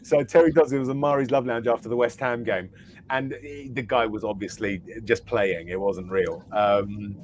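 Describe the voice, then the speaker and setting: A man's voice: on the low side, fairly even intonation, normal speed, a little mellow. Teasing man chatting, slightly noisy line. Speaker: fan podcast panellist. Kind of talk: casual panel chat with banter.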